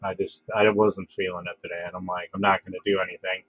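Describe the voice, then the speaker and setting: A man hosting a casual livestream, congested from a head cold, talking in a relaxed, halting way with lots of fillers and restarts. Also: sits low, slight background noise. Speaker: livestream host. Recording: dull, dry lo-fi home stream audio.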